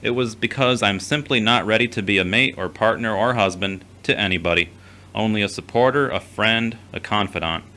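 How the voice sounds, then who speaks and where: Bright-voiced, conflicted earnest man acted out. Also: on the low side, brisk. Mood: somber, earnest. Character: conflicted, earnest man wrestling with God and himself. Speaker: actor in an audio drama. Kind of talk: scripted audio drama acting.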